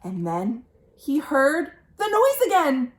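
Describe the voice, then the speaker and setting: A woman reading a picture book aloud for young children as a storytime host, animated, with questions to listeners and dramatic pauses. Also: high voice. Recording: roomy, echoing indoor sound.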